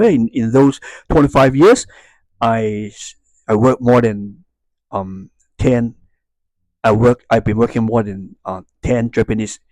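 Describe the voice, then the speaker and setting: A man in his fifties from Hong Kong, a native Cantonese speaker with a Hong Kong Cantonese accent, talks casually to viewers, haltingly searching for words. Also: lowish voice, a little mellow, no echo, poor audio. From Hong Kong and Macau. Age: 50s. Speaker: YouTuber. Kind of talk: casual talk to viewers, unscripted.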